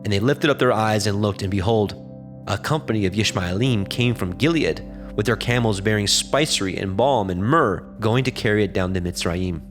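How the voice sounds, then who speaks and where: A man narrating scripture as a scripted reading, in a measured, solemn storytelling manner. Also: lowish voice, soft background music.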